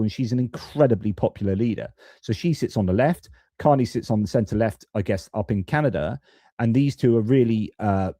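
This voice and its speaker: British man, lowish pitch, soft mellow tone, informal opinionated commentary, chatty live stream host. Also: no room sound, studio quality. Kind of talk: casual political commentary.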